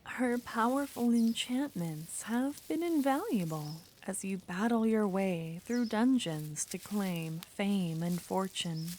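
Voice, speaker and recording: A woman narrating an ASMR roleplay story in a soft-spoken, gently whispering manner. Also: medium pitch, a little hiss or hum.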